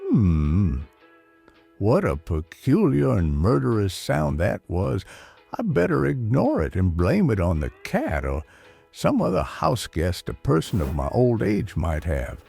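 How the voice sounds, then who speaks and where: A man's voice: lowish voice, huge pitch swings, a little slow, gravelly, warm tone, husky, heavily acted. A man acting a jittery old man who lives alone, rambling and folksy as he talks to himself in a comedic audio drama. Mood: muttering, wary. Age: older. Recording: music behind the voice. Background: music.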